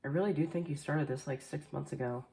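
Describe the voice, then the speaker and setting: A woman giving a casual tarot card reading, chatty and rambling with lots of fillers, a little nasal and hoarse from allergies. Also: sits low, fast talker, warm tone, poor audio. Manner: chatty, rambling with many fillers, occasional coughs. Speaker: tarot reader. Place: indoors at a table at home. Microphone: camera facing the table, speaker mostly off screen.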